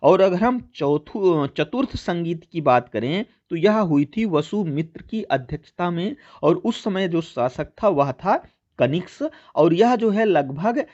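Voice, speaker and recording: A man's voice: high-pitched, animated, slow, a little mellow, muffled recording. A man teaching an exam-prep lesson, addressing listeners as friends and repeating key points as he explains. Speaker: teacher hosting a history quiz channel.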